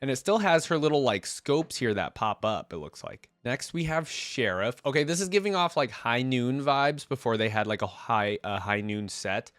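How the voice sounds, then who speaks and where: A man's voice: mid-range voice, lively intonation, normal speed, hardly any pauses, fairly bright tone, no echo, clean studio sound. A man with a congested, head-cold voice giving casual reaction commentary as a video host, thinking aloud in informal slang.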